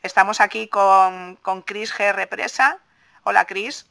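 A woman's voice: mid-range voice, fairly even intonation, slow pace, vocal fry, sharp tone. A woman with an accent from Spain, hosting a podcast in a casual, informal interview style. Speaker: podcast host.

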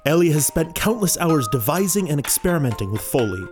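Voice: medium pitch, big pitch swings, a little fast, music in the background. A student presenter reading scripted narration for an audio feature.

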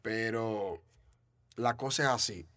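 A man's voice: lowish voice, takes it slowly, fairly bright tone, no echo. A man from the Dominican Republic with a Dominican Spanish accent, a trap artist talking casually in an interview, often repeating himself and restarting.

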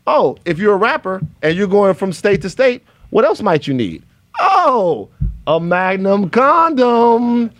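A male host runs a loose interview, excitable and quick to joke. His voice sits a little high for a man. The recording is dry and close, with no room sound. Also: no room sound. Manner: animated, excitable, joking. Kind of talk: casual interview.

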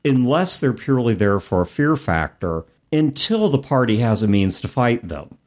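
A man co-hosting a podcast in a casual, explanatory way, animated and expressive as he talks and reads aloud. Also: medium pitch, normal speed. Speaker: podcast co-host. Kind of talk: casual chat about tabletop gaming, reading passages aloud.

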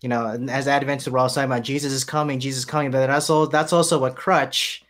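Male pastor, mid-pitched voice, emphatic preacherly delivery on a panel. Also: steady tone, fast, fairly bright tone. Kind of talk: panel discussion.

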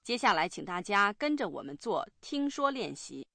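A woman's voice: medium pitch, sing-song, normal speed, gravelly, over music. A woman presenting a language lesson in scripted instructional narration.

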